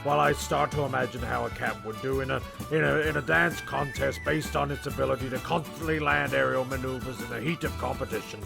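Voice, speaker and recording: A man plays a dry storyteller in a comic castaway radio play. He rambles in a heavily creaky, mid-pitched voice, with music underneath. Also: fairly even intonation, fairly quick, hardly any pauses.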